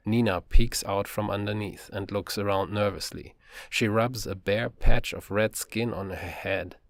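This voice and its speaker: A man narrating an audiobook with a low voice and a gravelly, creaky texture. His reading is calm and measured, and the sound is dry and close to the mic. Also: no echo.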